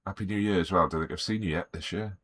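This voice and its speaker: A middle-aged man with a Welsh accent talks in a deep, low register, his voice a little croaky from a sore throat. He chats in an easygoing way and greets viewers one by one as he works. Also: quick pace, a bit bright. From Wales. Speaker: artist streaming live. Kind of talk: relaxed live-stream chat while drawing.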